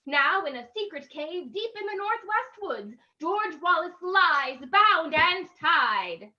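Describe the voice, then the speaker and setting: A woman's voice: extremely high pitch, sing-song, takes it slowly, bright, forceful tone, dull audio, heavily acted. A woman playing a perky announcer reading links and mock sponsor spots in an old-time radio show. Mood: bright, salesmanlike.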